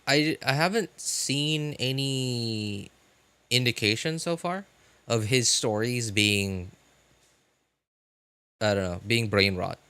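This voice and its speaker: A man hosting a livestream, chatting casually and unscripted with viewers in a halting, rambling way that often repeats phrases. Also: mid-range voice, pushed and bright, clean tone, no echo. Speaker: livestream host.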